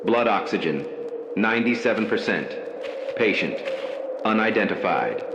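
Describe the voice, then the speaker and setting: A man playing a haunted night-shift hospital worker, narrating a scripted horror story in slow, measured, ominous phrases. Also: lowish voice, reverberant, muffled recording, noisy background, natural voice.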